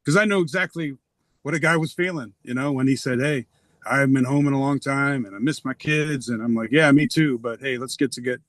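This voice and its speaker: A middle-aged man, a retired umpire and pastor, talking softly and earnestly in a reflective conversation with many pauses and ums. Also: mid-range voice, no room sound. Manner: soft-spoken and earnest, with frequent ums.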